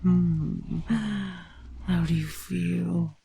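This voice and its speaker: Woman acting a tender, teasing queen, hushed breathy voice, gravelly edge, unhurried intimate delivery, romantic fantasy audio drama. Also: fairly low pitch, flat delivery, full character voice. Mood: adoring, intimate. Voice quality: breathy, often dropping into whispers. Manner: slow, lingering, with soft hums and pauses.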